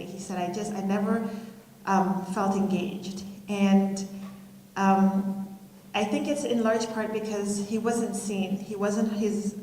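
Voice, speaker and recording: A middle-aged woman giving a keynote speech, telling personal stories in a measured, reflective way with pauses. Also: mid-range voice, a little mellow. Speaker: keynote speaker. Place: school auditorium, live audience. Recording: roomy hall reverb.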